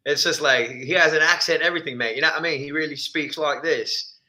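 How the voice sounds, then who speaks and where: Bright-voiced laid-back young man. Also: fairly high pitch, quick pace, rough recording. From Texas, born in Ecuador. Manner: laid-back, slangy, laughs easily. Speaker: musician guest. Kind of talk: casual chat.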